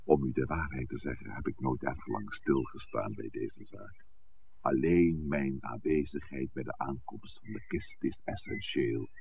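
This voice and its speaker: A courteous, evasive village priest in a 1960s radio play. His voice is extremely low and gravelly, with formal, measured phrasing. The recording is thin and band-limited, with noise underneath. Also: highs cut off, full character voice. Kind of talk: 1960s radio drama acting.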